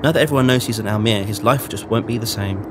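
A man's voice: lowish voice, fast talker, noisy background, close to an everyday voice. A man playing a dismissive, downbeat teenage schoolboy in a motion comic. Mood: glum, dismissive.

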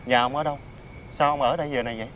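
A man's voice: mid-range voice, steady tone, racing, a bit bright, a little hiss or hum, natural voice. A man playing a young, poor, kindly peasant husband in a televised fairy tale, with a Southern Vietnamese accent and an earnest manner. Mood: concerned, kind.

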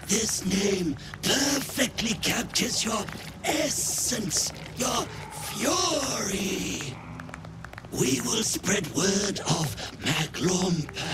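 An actor playing a fervent fish-creature priest in a fantasy game, grandly and reverently proclaiming devotion to a bloody god. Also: male voice, high-pitched, very noisy, full character voice. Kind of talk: fantasy video game acting.

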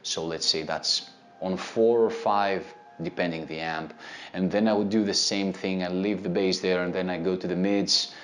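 Deep-voiced Greek man teaching guitar. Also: moderate pace. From Greece. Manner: relaxed, explains step by step with many fillers; gestures with his hands while talking. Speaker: guitar teacher answering viewer questions. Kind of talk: casual instructional talk to camera. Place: indoor studio with a plain grey backdrop. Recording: soft background music under the voice.

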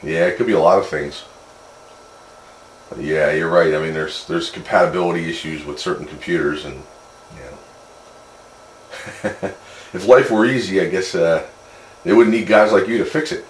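A man's voice: low-pitched, moderate pace, pauses often. A man having a casual technical chat as a radio operator. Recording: roomy echo with clear background noise.

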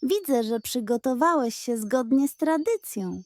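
A woman's voice: high voice, expressive, unhurried, dry recording. A woman voicing a pleased, polite goat in a children's story, speaking in a sweetly teasing way.

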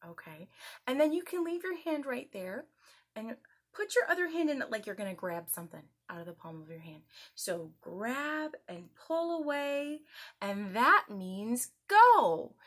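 Woman, 30s, children's story time presenter. Bright, very lively intonation, encouraging and exaggerated delivery. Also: on the high side. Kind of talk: children's lesson, addressing young viewers directly. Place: small indoor room at home.

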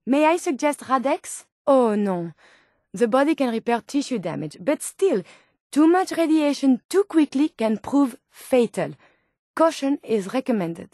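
An actress voicing an earnest, naive robot scientist turned human in a video game, with a French accent and formal, precise phrasing. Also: female voice, a little high, a little mellow, no room sound, clean studio sound. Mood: helpful, matter-of-fact. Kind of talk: scripted video game character dialogue.

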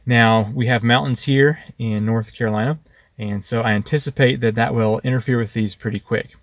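A man's voice: mid-range voice, fairly even intonation, moderate pace. An American man in his thirties with a mid-range voice talks through a product review. He is casual and practical, explaining things as he tries them out.

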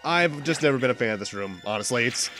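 A man's voice: mid-range voice, animated, fast talker, a bit bright. A young man with a stuffy nose giving chatty, casual commentary on a game as he plays, now and then correcting himself. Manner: chatty, self-correcting, occasional laughs. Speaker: let's play host. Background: game music.

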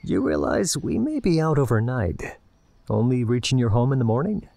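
A man playing a calm, matter-of-fact, reassuring male explorer in an audio drama. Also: mid-range voice, moderate pace, creaky, no room sound. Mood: earnest. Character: Nikan, calm practical male explorer, reassuring to his captive.

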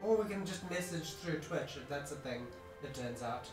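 A streamer rambles through a gaming session, reading out viewer messages between thoughts. The voice sits in a roomy, echoing space. Game music plays clearly underneath. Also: male voice, a little high, lively intonation, moderate pace. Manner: rambling, reads chat messages aloud. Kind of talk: casual gaming chat.

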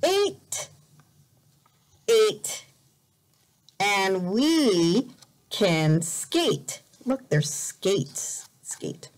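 A woman teacher reading a picture book aloud as a lesson for young learners, deliberate and expressive, repeating words carefully. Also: medium pitch. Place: indoor room, at a desk.

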